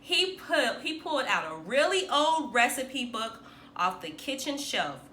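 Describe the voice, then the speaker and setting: Animated, high-voiced woman reading to children. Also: unhurried. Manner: animated, dramatic emphasis for young listeners. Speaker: teacher reading aloud. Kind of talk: expressive children's picture book read-aloud. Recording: roomy echo, faint background noise.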